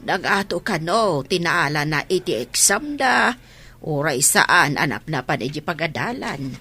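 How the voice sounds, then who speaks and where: A woman's voice: low voice, very animated, unhurried, sharp tone, breathy edge, faint music behind, heavily acted. A woman acting in a radio drama as a stern but caring old grandmother. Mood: worried.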